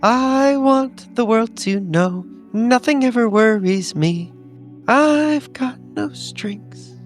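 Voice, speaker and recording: A man's voice: very high voice, animated, takes it slowly, faint music behind, heavily acted. A man voicing an eccentric, blithe male agent in a horror roleplaying game, with a theatrical manner. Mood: blithe, singing. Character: eccentric male agent who sings blithely under fire. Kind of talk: in-character tabletop roleplay.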